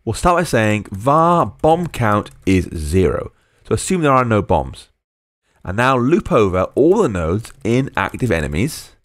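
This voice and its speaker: A man's voice: on the low side, dry recording, studio quality. A man narrating a screencast coding tutorial as a programming tutor, explaining step by step and dictating code word by word.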